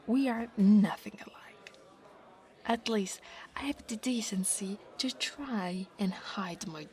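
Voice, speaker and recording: A woman voicing an insecure, defensive young dragon student bully in an ASMR roleplay, haughty and flustered, stammering and often half-whispering. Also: medium pitch. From Italy. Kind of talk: ASMR monster roleplay acting.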